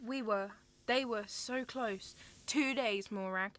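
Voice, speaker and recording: A woman's voice: fairly high pitch, slow pace, fairly bright tone. A woman playing a grieving mother. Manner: grief-stricken, shaken. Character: grieving older sister, mother who lost her sons. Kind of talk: amateur radio drama acting.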